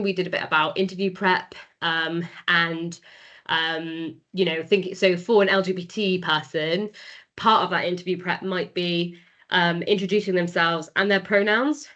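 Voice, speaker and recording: A woman with a fairly low voice explains services in a conversational, hesitant way, pausing often on ums. The sound is a little muffled, as in a recorded online meeting. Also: a bit bright. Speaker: charity worker presenting services. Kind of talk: informal explanatory presentation.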